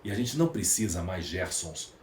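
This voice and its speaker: A man's voice: low voice, lively intonation, normal speed. A Brazilian man speaking as a podcast guest, emphatic and rhetorical, building his points through lists and questions. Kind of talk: conversational interview monologue. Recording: echoey room, faint background noise.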